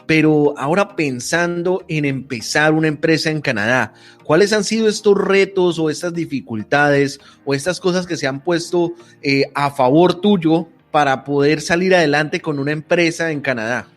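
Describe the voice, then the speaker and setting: A male interviewer with a fairly high voice asks long, measured questions. Soft music runs faintly underneath. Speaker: interview host.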